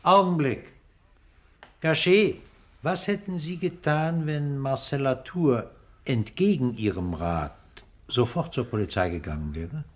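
An actor playing a forceful police inspector in a German radio crime drama, putting questions insistently. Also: male voice, mid-range voice, animated, a little slow.

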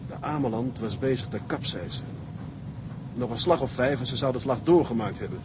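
An actor playing an earnest, dutiful young first mate in a radio drama, measured, grave and respectful. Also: male voice, natural voice. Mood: grave explaining. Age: young adult. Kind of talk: dramatic radio play acting. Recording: engine rumble behind the voice.

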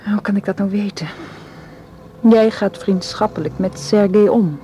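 A woman's voice: medium pitch, a little slow, mellow, a little hiss or hum, natural voice. An actress in a Dutch radio play, playing an anxious, guarded woman who speaks hesitantly and earnestly. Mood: suspicious. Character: anxious, guarded woman distrustful of her male suitor.

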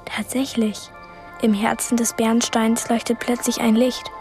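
A woman's voice: fairly high pitch, flat delivery, measured pace, a little mellow, soft background music. An actress playing a young girl heroine who narrates her inner thoughts in a radio drama with breathless intensity. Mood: hushed wonder.

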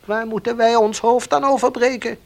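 Village baker, radio drama, scheming, insinuating, gruff, bossy, deliberate. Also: male voice, extremely high pitch, steady tone, darkish tone. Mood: guarded, dismissive. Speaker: actor in a radio play. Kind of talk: Dutch radio play acting.

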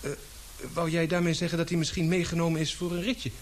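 A man acting in a Dutch radio drama as a sceptical companion who asks brisk, incredulous questions. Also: fairly high pitch, little pitch movement, a little hiss or hum, close to an everyday voice. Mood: incredulous, questioning. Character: sceptical, questioning male companion named Dave.

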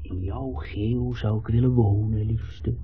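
An actor playing a placating, wry husband in a 1970s radio drama, soothing, teasing and at times hesitant. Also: male voice, fairly low pitch, fairly even intonation, takes it slowly, very noisy. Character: placating, wry husband, timid younger brother. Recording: dull, distant old radio recording.

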